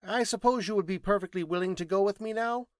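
A man's voice: high voice, quick pace, no room sound, studio quality, voice pushed up, close to an everyday voice. A man voicing a curt, petulant, exacting scientist detective in an audiobook story, speaking precisely and didactically. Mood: curt, certain.

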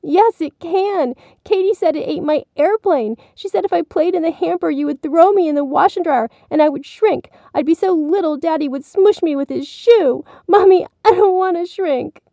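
A woman's voice: very high-pitched, normal speed, hardly any pauses, warm tone, dry recording, voice pushed up. A woman voicing a timid but determined small boy in a storybook, with a childlike, pleading manner. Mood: scared, pleading.

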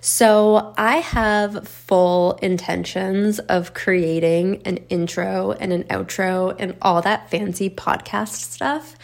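A woman hosting a casual solo podcast, slightly raspy from being sick, riffing informally and repeating phrases for emphasis. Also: mid-range voice. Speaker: podcast host and business coach.

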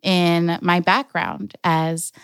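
A young adult woman from Los Angeles telling her family story in a slow, reflective way, with careful restarts. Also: on the low side, flat delivery, a bit bright, smooth, no echo, clean studio sound. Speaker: storyteller, artist and organizer. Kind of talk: personal storytelling.

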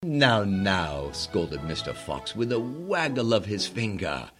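Slow, theatrical man with swooping pitch. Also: sing-song, loud music behind the voice. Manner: theatrical, drawn-out delivery. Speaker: choral narrator in radio drama. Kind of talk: dramatic scripted fairy-tale narration.

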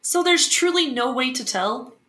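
A woman playing a polished, inquisitive talk-show host in a fiction podcast, with a crisp presenter's delivery. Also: high voice, animated, moderate pace, pushed and bright, roomy sound. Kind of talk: fiction podcast acting as broadcast interviewer.

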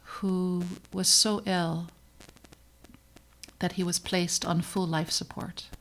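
A middle-aged woman from the Netherlands, a pediatrician hosting her own podcast, narrating in an earnest, measured and reflective way with emphatic pauses. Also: fairly low pitch, level tone, fairly bright tone, poor audio. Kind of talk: scripted personal podcast narration.

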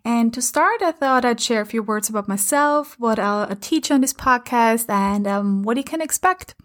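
A woman's voice: fairly high pitch, normal speed, a bit bright. A woman in her thirties from Austria, a native German speaker with an Austrian German accent, hosting her own podcast in an earnest, encouraging way with many fillers. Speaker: podcast host and coach. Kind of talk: casual solo podcast talk. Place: home in London.

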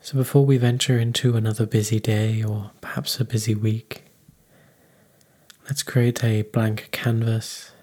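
A man leading a guided meditation in a calm, unhurried, soothing manner. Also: fairly low pitch.

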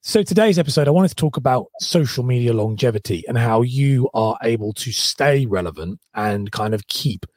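A man hosting a podcast, his voice hoarse and husky. He talks eagerly and loosely, restarting his questions as he goes. The sound is dry and close. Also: mid-range voice, expressive, moderate pace, few pauses, a little mellow, no echo. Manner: enthusiastic, rambling questions with many restarts. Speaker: podcast host. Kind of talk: casual interview chat.

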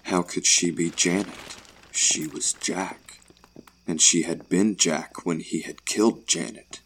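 A man narrating a scripted horror story in a measured, ominous manner. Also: deep voice, vocal fry, a bit bright, breathy edge, rough recording.

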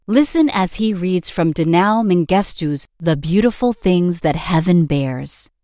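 A woman's voice: on the low side, animated, measured pace, dark tone, no room sound, studio quality. A woman reading scripted narration as the narrator of a podcast.

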